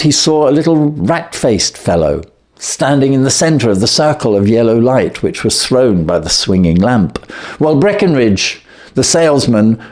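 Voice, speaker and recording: A man narrating a classic short story as an expressive audiobook reader. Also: mid-range voice.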